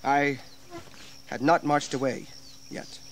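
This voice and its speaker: An actor playing a proud, defensive colonial militia colonel, formal and protesting, in a historical audio drama. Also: male voice, mid-range voice, fairly even intonation, slow, natural voice. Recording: 1980s audio drama with background noise.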